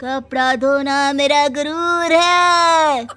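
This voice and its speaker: A woman's voice: sits high, fairly even intonation, very slow, bright, forceful tone, faint music behind, heavily acted. A woman playing a feisty, melodramatic young daughter-in-law who is obsessed with her household chores. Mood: dramatic complaint. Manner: melodramatic, cheeky complaining. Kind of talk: dramatised Hindi moral story.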